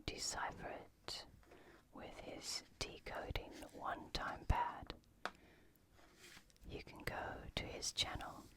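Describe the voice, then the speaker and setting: Very slow whispering woman, ASMR host. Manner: deliberate, measured, with long pauses. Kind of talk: whispered ASMR narration with a spooky espionage theme.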